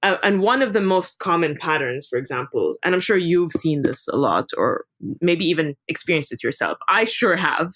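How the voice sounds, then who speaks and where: Guest therapist, woman from Lebanon, slightly low voice, calm explanatory podcast talk. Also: normal speed. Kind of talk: casual podcast interview.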